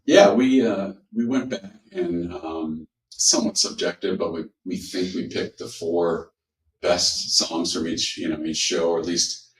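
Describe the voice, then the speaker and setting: A man's voice: sits low, unhurried. A man musician talking about his band's show, hesitant, with many fillers. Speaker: band musician. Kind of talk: casual interview chat. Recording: roomy, from a distance.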